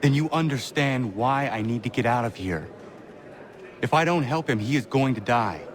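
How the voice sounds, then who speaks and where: An actor playing a stern, earnest young assassin in a video game, speaking in terse, formal sentences without contractions. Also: male voice, medium pitch, lively intonation, moderate pace, natural voice. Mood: urgent. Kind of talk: video game cutscene acting.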